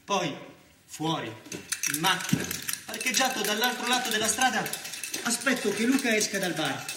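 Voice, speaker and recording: An actor playing a sober, dogged newspaper journalist, narrating in measured, clipped phrases as theatre. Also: male voice, high voice, animated, noisy background. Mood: watchful. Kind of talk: theatrical first-person narration in present tense. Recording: roomy stage sound with loud music. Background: music.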